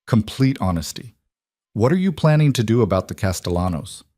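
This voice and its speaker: A man's voice: on the low side, very animated, fairly quick, dark tone, no echo, studio quality. Man voicing a weary, defiant estranged wife. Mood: challenging. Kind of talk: audiobook character dialogue.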